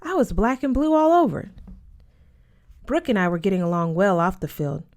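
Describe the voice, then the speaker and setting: A woman's voice: mid-range voice, very animated, moderate pace, a little mellow. A woman narrating a first-person story as an audiobook reader.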